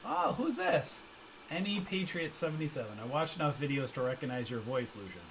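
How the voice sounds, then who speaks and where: A man hosts a live trading stream, chatting loosely with viewers and tossing in jokes and slang as he reads their comments. His voice sits a little high for a man. A faint hum runs under the recording. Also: big pitch swings, a little fast, a bit bright, rough recording. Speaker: stock trading livestream host. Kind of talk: casual livestream chat reading comments.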